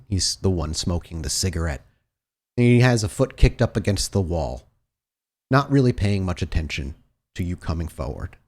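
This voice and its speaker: A man's voice: low-pitched, darkish tone. A man working as game master, narrating a tabletop game in dramatic, cinematic scene-setting descriptions.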